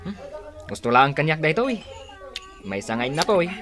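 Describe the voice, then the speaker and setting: A man's voice: a little high, very animated, a little fast, fairly bright tone. A man playing an easygoing, thrifty male office friend in a radio drama. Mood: agreeable.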